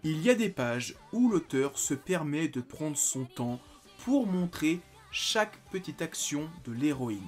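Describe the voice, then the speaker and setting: A man in his late twenties reviewing a manga in a casual video, talking animatedly and breaking into excited exclamations. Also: fairly high pitch, a little slow, soft background music. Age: 20s.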